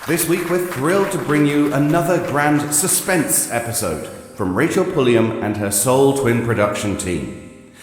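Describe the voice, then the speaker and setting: A man's voice: medium pitch, lively intonation, unhurried. Man, formal master of ceremonies, heavily echoing stage sound, some background noise, scripted welcome. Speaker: host. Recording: very echoey hall, noise, faint crowd.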